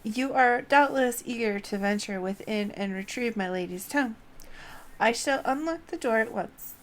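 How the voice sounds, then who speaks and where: A woman's voice: fairly high pitch, normal speed, lightly acted. A woman reading fantasy game dialogue as a humble enchanted broom, in formal, archaic declamation. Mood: apologetic, deferential. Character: humble, courtly enchanted broom serving a lady.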